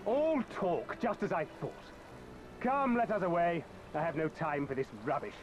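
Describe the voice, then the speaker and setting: Arrogant Imperial officer, high male voice, very animated intonation, pompous, scornful, game acting. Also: normal speed, lo-fi sound, a little hiss or hum. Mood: dismissive. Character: haughty, contemptuous male Imperial military officer.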